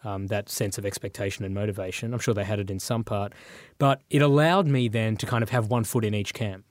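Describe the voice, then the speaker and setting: A man speaks as an interview guest, his voice a touch low. He is reflective and unhurried, often pausing on um and uh as he thinks. The recording is close and dry, with no room sound. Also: fairly even intonation, no room sound. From Sri Lanka, raised in Australia. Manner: thoughtful, with frequent um and uh.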